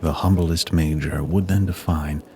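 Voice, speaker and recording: A man narrating a rhymed Christmas story in verse with a measured, solemn delivery. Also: low-pitched, steady tone, darkish tone, a little hiss or hum. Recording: music bed under the voice. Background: music.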